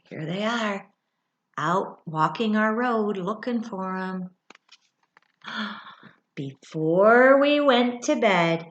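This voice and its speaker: Middle aged lady teacher doing a slow animated storytime read for little kids, echoey room. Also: fairly low pitch, highs cut off. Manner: expressive, with dramatic gasps and thoughtful hums. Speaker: teacher reading a children's book aloud. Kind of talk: animated read-aloud for young children, with asides and questions. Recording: roomy, echoey indoor sound.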